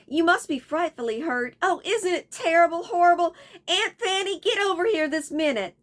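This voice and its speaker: A breathless young noblewoman, high and very bright, her voice swinging all over the place, as a woman puts her on. She pleads and fusses over a wounded man. Storybook reading. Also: lively intonation, normal speed. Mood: anxious.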